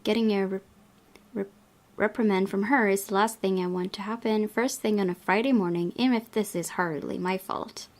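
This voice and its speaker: A woman hosting a casual game playthrough, reading and commenting, thinking aloud in a halting way. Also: mid-range voice, fairly even intonation, a little mellow. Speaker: gaming video host.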